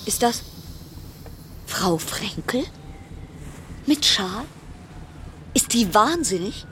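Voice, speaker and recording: A woman's voice: medium pitch, animated, extremely slow, fairly bright tone, slight background noise. A woman acting in a radio play as an anxious, sardonic quarantined passenger, delivering a brooding, dramatic interior monologue. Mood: agitated, sardonic.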